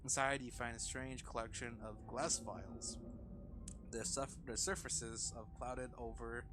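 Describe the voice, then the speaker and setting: A man's voice: medium pitch, level tone, measured pace. Male game streamer reading aloud, noisy recording. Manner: stumbles over words while reading aloud. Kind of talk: live playthrough reading game text aloud with casual reactions.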